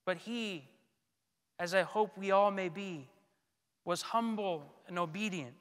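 Male priest, thirties, bright high voice, solemn and deliberate sermon delivery into a pulpit mic. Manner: solemn, deliberate, with frequent pauses. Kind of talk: sermon, measured scripted delivery. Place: large church, indoors at a pulpit. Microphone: gooseneck pulpit microphone. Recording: long church reverb.

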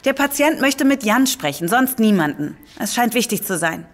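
An actress playing a brisk, level-headed female scientist who explains briskly and speaks up indignantly, in a radio play. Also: on the high side, sing-song.

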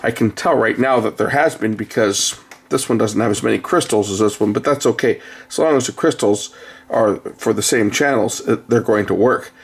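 A man's voice: on the low side, normal speed, husky. A middle-aged man giving a casual, conversational hands-on repair commentary, explaining as he works at an indoor bench. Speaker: repair video host. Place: indoor workbench. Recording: noisy room recording.

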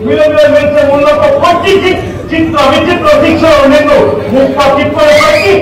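High-pitched, grandiloquent god of death, echoing. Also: male voice, fairly even intonation, a little fast, far from the mic. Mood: menacing, proclaiming. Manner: grandiloquent, theatrical. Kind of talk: declamatory Telugu mythological stage acting. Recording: echoey stage PA from afar, crowd noise.

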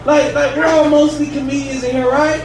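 A man's voice: extremely high pitch, fairly even intonation, fairly quick. A man in his thirties doing a casual, profane stand-up set at an open mic in a bar. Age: 30s. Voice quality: casual, profane, drawling delivery. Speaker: stand-up comedian. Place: bar comedy room. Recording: echoey bar room, distant and noisy. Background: audience ambience.

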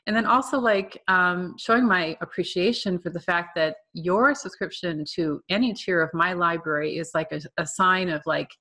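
A woman's voice: on the low side, normal speed, few pauses, sharp tone. A woman giving a casual, chatty slide presentation to an online art class as the artist who teaches it. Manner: informal, chatty, conversational, with frequent asides.

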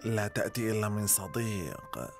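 A man voicing a menacing, wheedling mobster-like pizza seller in a cartoon dub, slow and seductive. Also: lowish voice, animated, gravelly, over loud music. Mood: menacing, coaxing. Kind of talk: cartoon dub parody of mafia boss.

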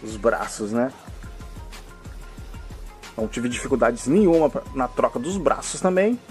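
A man's voice: mid-range voice, animated, measured pace, darkish tone. Man with a mixed São Paulo and Rio accent, casual enthusiastic reviewer, off-screen camera mic, light music bed. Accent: mixed São Paulo and Rio de Janeiro Brazilian Portuguese. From Brazil. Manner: chatty, fills with "né?" and "hein?", enthusiastic. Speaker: toy reviewer.

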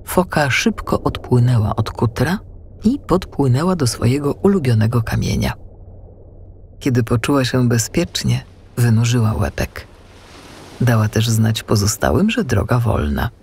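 A man narrating a children's storybook in an expressive, unhurried storytelling manner. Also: mid-range voice, gravelly, a little hiss or hum. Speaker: audiobook narrator.

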